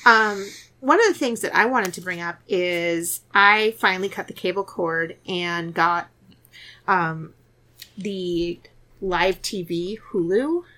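A woman's voice: on the low side, slow, bright, forceful tone. A woman hosting a podcast, chatting casually about movies, laughing often and restarting her sentences. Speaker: podcast host. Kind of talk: casual chat about horror films.

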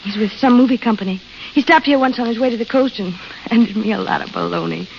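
A restless young American roadside waitress with a fairly high voice. She is frank and dreamy at once, acted in classic radio drama style on a muffled old recording. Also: quick pace, gravelly, slight background noise. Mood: frank, wry. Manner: plain-spoken, frank. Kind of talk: 1930s-40s radio drama acting.

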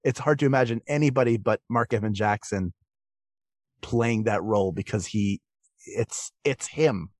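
A man's voice: on the low side, moderate pace, no room sound, clean studio sound. A man speaking as a podcast guest in casual, analytical discussion, with an earnest, emphatic manner.

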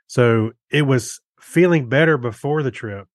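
A man's voice: mid-range voice, a little slow, no room sound, studio quality. An American man chatting casually as a podcast co-host, with an easygoing, folksy way of talking. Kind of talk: casual chat.

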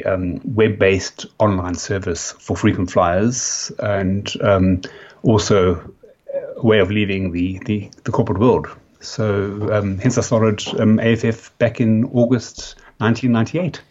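Deep-voiced South African man, halting. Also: steady tone, a little mellow. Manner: halting, many fillers and restarts. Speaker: company founder, guest. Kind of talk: podcast interview. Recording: dull lo-fi sound.

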